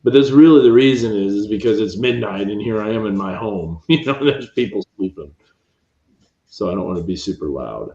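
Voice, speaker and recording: A low-pitched man talks in a hushed, rambling way. His cheap home microphone sounds dull. The room around him is roomy. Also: expressive, fairly quick. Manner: quiet, hushed, rambling forecast talk. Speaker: meteorologist host. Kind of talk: late-night weather livestream. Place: upstairs room at home at midnight. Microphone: cheap home mic.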